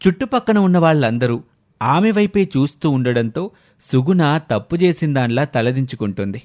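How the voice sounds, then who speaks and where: A man reads scripted story narration as the narrator. His voice is slightly high for a male. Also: expressive, moderate pace, darkish tone.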